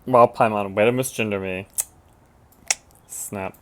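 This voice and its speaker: A woman's voice: very deep voice, fairly even intonation, unhurried, darkish tone. Casual woman streaming a game. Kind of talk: casual live gameplay commentary. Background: game music.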